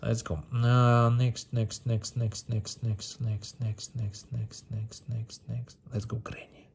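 A man's voice: mid-range voice, little pitch movement, slow, creaky, darkish tone, slight background noise. A man giving casual gameplay commentary, playful and repetitive, with non-native English phrasing. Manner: repeats words and phrases, playful. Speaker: mobile game streamer. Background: game music.

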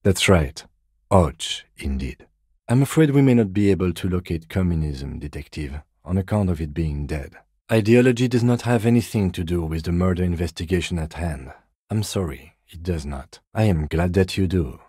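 An actor playing a composed, weary police detective in a video game, with dry, deadpan, measured delivery. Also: male voice, deep voice, breathy edge, no echo. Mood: weary, matter-of-fact.

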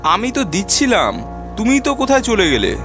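A man's voice: sits high, wildly swooping pitch, brisk. A man playing a frightened lodger who tells his own ghost story in a dramatic horror cartoon style. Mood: panicked. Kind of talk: dramatised first-person horror cartoon narration. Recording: music under the voice.

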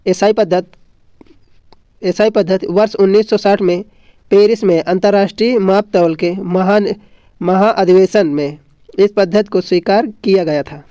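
A man's voice: high-pitched, dark tone. A man teaching an exam lesson from notes, didactically repeating points and answering his own questions. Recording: dull lo-fi, roomy echo.

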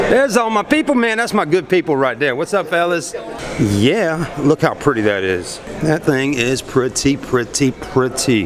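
A man, vlogging with a handheld camera, chatty and enthusiastic. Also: medium pitch. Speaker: car vlogger. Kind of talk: excited casual vlog. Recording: noisy outdoor and car sound.

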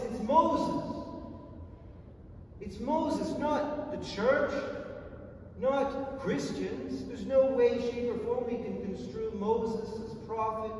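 Preaching priest in an echoey church. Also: male voice, high-pitched, darkish tone, recorded from a distance, slight background noise. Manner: measured, emphatic preaching with long pauses. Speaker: Orthodox priest. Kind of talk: sermon. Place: large stone church. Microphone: far camera.

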